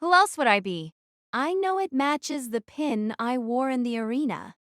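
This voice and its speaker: Woman acting guarded teen heroine, first-person audiobook drama, higher pitch, very dry sound. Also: lively intonation, normal speed, a bit bright, no room sound. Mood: suspicious, guarded. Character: guarded, wary teenage girl archer narrating her story.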